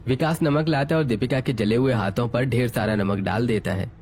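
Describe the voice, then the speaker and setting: Male storyteller for a scripted family drama, medium pitch, narrating scene by scene. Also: a little fast, a little mellow.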